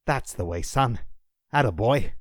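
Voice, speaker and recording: A man's voice: mid-range voice, lively intonation, unhurried, dry recording, studio quality, pitched above the performer's own voice. A man voicing a tender, earnest father who is desperately attached to his young son, in an audiobook story. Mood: tender, encouraging. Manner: emotional, earnest.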